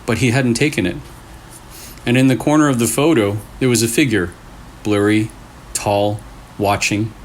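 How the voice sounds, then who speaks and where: Low-voiced male storyteller with background noise. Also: moderate pace, pauses often, poor audio. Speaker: host reading scary stories. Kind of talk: storytelling with casual reactions between stories.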